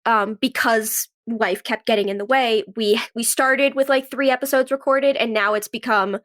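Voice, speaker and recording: Woman guest on a podcast, kinda high voice, casual, says like a lot. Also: fairly even intonation, moderate pace, a bit bright. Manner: conversational, frequent "like". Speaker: guest, actress and writer. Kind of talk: casual interview chat.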